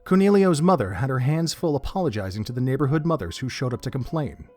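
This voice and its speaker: A man narrating an audio drama in an expressive storybook manner. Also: medium pitch, fast talker, no room sound. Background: faint music.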